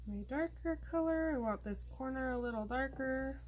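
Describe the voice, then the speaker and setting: A woman's voice: sits high, moderate pace, slight background noise. Chatty woman showing pastel blending. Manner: relaxed, chatty instructions while working. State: losing her voice. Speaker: art tutorial host. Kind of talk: casual step-by-step craft demonstration.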